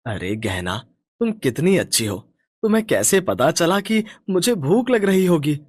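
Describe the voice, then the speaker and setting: A man voices a lazy young village husband who loves his sleep. His husky voice swings widely in pitch, complacent and coaxing, in a dry cartoon story recording. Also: sing-song, measured pace, darkish tone, no room sound. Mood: flattering. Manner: complacent, coaxing, swooping intonation. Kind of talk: animated moral story dialogue.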